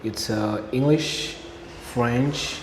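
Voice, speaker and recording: A man's voice: medium pitch, extremely slow. A man from Burundi whose first language is Kirundi, answering interview questions in an earnest way and repeating key phrases for emphasis. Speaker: interview guest, English learner. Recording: echoey room with long reverb, faint noise.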